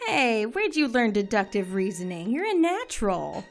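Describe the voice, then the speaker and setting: A woman's voice: on the high side, very animated, faint music behind. Actress as driven, wisecracking female combat trainer. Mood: tense, sardonic. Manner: brisk, blunt, sardonic. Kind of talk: audio drama acting.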